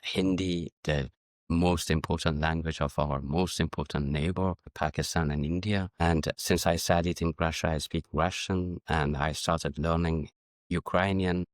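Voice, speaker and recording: A middle-aged man from Afghanistan whose first language is Farsi, answering interview questions in a measured, reflective way and often restarting his sentences. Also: low-pitched, fairly even intonation, dry recording, clean studio sound. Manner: measured, searching for words with frequent restarts. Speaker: guest, diplomat and educator.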